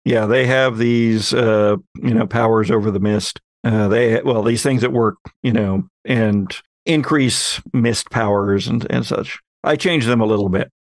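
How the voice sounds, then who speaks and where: A man's voice: lowish voice, measured pace, few pauses, no echo. A man chatting casually as a podcast host and game master, wry and given to chuckling. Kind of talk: casual chat.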